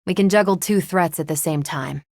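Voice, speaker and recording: A self-assured superhero woman, with a lowish voice and a very dry, close sound. She is brash and wry but loyal, talking casually like a seasoned soldier. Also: moderate pace, darkish tone, no echo. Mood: steady, upbeat. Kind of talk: video game voice acting.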